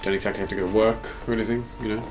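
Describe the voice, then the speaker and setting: A man's voice: lowish voice, fairly even intonation, rapid-fire, music in the background. A young man in a scripted comedy sketch, talking in a flustered, stammering, fussy way. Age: young adult. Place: small home room, indoors.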